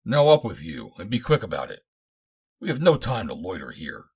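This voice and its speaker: Narrator playing male adventurer, husky, lively intonation, commanding yet gentle, formal, earnest, audiobook dialogue. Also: deep voice, moderate pace, full character voice. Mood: brisk command.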